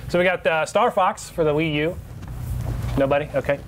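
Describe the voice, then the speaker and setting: A man's voice: fairly high pitch, moderate pace, a little hiss or hum, poor audio. A young man co-hosting a gaming show, bantering casually as he runs through releases. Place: indoor studio set with couches in a game shop.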